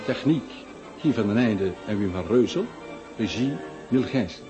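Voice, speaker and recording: A man's voice: on the low side, moderate pace. A man reading the credits of a radio play formally, as announcer. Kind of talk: scripted announcement. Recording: dull lo-fi radio recording with music. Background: music.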